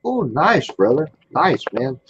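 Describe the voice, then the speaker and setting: A man with a slightly high voice, loud and ribbing, who swears freely and keeps shouting "hey" to get his friends' attention. He comes through a muffled online call with a faint hiss underneath. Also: very animated, slow pace. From Colorado. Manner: loud, teasing, profane, repeats "hey". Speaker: livestream participant. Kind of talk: rowdy casual chat.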